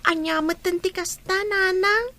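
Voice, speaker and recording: A woman's voice: very high-pitched, fairly even intonation, unhurried, pushed and bright, exaggerated voice. A woman playing a meek young schoolgirl in a radio drama, speaking with an Ilocano accent in a plaintive, childlike way. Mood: worried, subdued. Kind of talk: Filipino radio drama acting.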